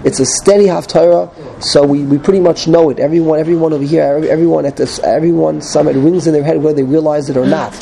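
A man's voice: a little high, fairly even intonation, quick pace, gravelly, mellow, slight background noise, rough recording. A man with a cold teaching a casual Torah class, often restarting his phrases and mixing in Hebrew terms. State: has a cold.

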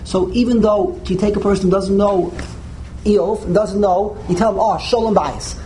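A man's voice: high voice, steady tone, moderate pace, warm tone, slight background noise, low-quality recording. A man teaching a Torah class, explaining in an emphatic and repetitive way and often restarting his phrases.

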